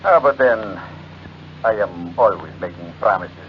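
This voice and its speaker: A sinister, mock-polite blackmailer on the phone in a 1940s radio thriller. His voice is deep and lilts expressively, through a muffled, noisy recording. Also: expressive, a little slow. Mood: mocking, menacing. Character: smooth, sinister, mocking male blackmailer on telephone.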